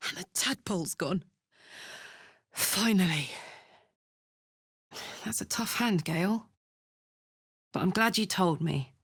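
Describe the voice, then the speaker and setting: A woman's voice: on the low side, animated, slow pace, pauses often, a bit bright, breathy edge, no room sound, clean studio sound, heavily acted. A woman playing a tough, big-hearted, devil-touched warrior woman in a video game, with a blunt, earthy, sweary manner. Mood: casual, wry. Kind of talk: video game character voice acting.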